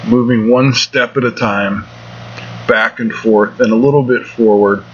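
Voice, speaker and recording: A middle-aged man explains his welding work in an easygoing, plain-spoken way, like a shop regular talking through the job. His voice sits at an ordinary pitch for a man. The recording is a bit dull and lo-fi, with a faint air conditioner humming in the workshop. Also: fairly even intonation, a little slow, slight background noise. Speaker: welding instructor, show host. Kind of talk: casual instructional voice-over. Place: home welding shop, indoors.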